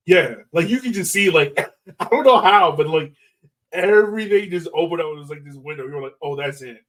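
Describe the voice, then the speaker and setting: A man's voice: a little high, lively intonation, fairly quick, fairly bright tone. A man co-hosting a sports podcast, chatting casually like a fan in loose, informal phrasing peppered with "like". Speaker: soccer podcast host.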